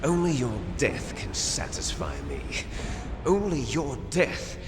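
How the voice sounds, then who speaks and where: Slow, menacing vengeful archvillain. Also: male voice, mid-range voice, huge pitch swings, background noise, full character voice. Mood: vengeful, gloating. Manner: deliberate, theatrical. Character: menacing, vengeful male archvillain, theatrical and obsessive. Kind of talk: dramatic video game voice acting. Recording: loud music behind the voice.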